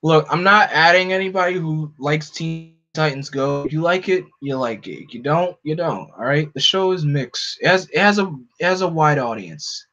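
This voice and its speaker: Animated man chatting on a lo-fi stream. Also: normal speed, few pauses. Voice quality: no regional accent. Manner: animated, opinionated, jumps up in pitch when excited. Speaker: streamer host. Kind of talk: casual chat to a live audience. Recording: dull lo-fi home stream audio.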